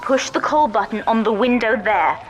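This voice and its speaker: Game heroine: woman playing devoted young daughter, urgent, well-spoken, slightly high register, over a noisy bed. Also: moderate pace, natural voice. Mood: determined urgency. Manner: earnest, urgent, articulate. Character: devoted, determined young daughter guiding her father. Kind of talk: dramatic video game voice acting.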